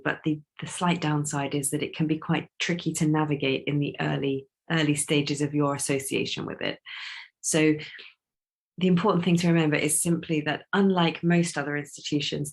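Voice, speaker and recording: A woman, croaky and a bit scratchy from a cold, giving a measured recorded welcome talk as a university training coordinator, with frequent ums and restarts. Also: low voice. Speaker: researcher development coordinator.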